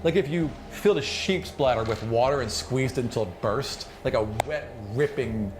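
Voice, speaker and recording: A man improvising colloquial, animated narration as game master of a tabletop fantasy game. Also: mid-range voice, moderate pace. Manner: animated, graphic, colloquial storytelling. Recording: noisy room recording. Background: clearly audible background noise.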